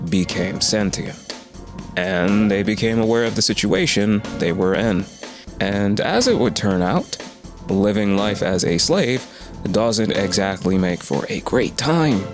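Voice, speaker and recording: A man hosting a casual lore video, his voice a bit croaky from a cold, talking in a laid-back, rambling way with jokey asides. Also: low-pitched.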